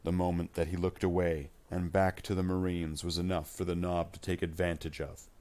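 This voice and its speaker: A man reading scripted dramatic fiction as a narrator, with measured and deliberate phrasing. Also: low voice, flat delivery, darkish tone.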